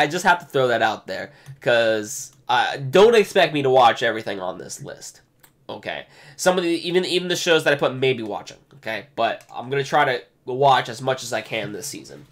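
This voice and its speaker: A man chatting casually on a live stream, reading text aloud with lots of fillers and restarts, his voice going hoarse. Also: medium pitch, fairly bright tone. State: losing his voice. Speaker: anime streamer.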